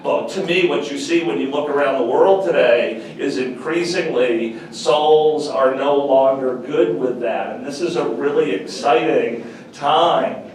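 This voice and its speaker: A middle-aged man giving an earnest, measured talk as a panel speaker, repeating phrases for emphasis. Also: medium pitch, darkish tone, a little hiss or hum. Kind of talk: reflective public talk. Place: university meeting room. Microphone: far camera. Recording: echoey room from far off.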